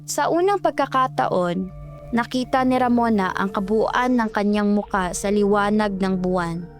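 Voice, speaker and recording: A woman narrator tells a horror story in a dramatic style. Her voice sits at a middling pitch, with music playing softly behind. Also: measured pace.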